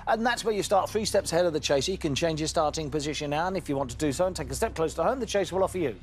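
A man hosting a TV quiz show, reading questions briskly and teasing contestants with jokes. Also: on the high side, lively intonation, slight background noise. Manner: jokey, teasing banter with contestants.

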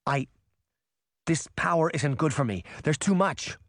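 A man's voice: fairly high pitch, animated, a little slow, no room sound, clean studio sound. A man voicing an anxious, earnest girl in a storybook. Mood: strained.

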